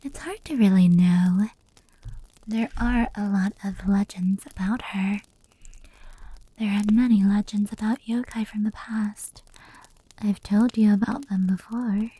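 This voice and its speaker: A woman playing a gentle fox-demon yokai in an ASMR roleplay, telling stories slowly and intimately in a soft, breathy whisper. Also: mid-range voice, dry recording, poor audio. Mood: hushed storytelling. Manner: slow, intimate, unhurried.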